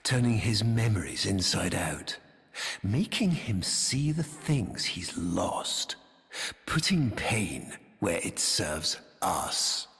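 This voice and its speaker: An actor voicing a sinister, pompous male tyrant in a video game, preaching in solemn, theatrical oratory with a breathy delivery. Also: on the low side, big pitch swings, takes it slowly, a bit bright, heavily acted. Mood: cold menace. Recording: very echoey hall, long reverb, distant.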